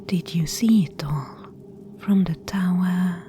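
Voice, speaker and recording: A woman voicing a sinister, patient spider-woman guide in a breathy, deliberate whisper for a fantasy ASMR roleplay. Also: fairly low pitch, slight background noise. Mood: quietly gloating. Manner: slow, hushed, deliberate. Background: music.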